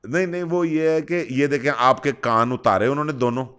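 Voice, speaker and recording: Pakistani man, clear smooth voice, mid pitch, prank caller, conversational, insistently reassuring, matter-of-fact. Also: steady tone, fairly quick, fairly bright tone. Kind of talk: prank phone call in conversational Urdu.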